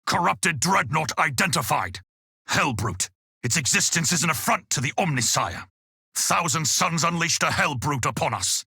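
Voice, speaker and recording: A devout, severe armoured zealot from a video game. He declaims formally in a very forceful, gravelly and heavily rasping voice. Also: a little high, animated, normal speed, pauses often, no echo, studio quality, exaggerated voice. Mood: stern alarm. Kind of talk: video game battle barks.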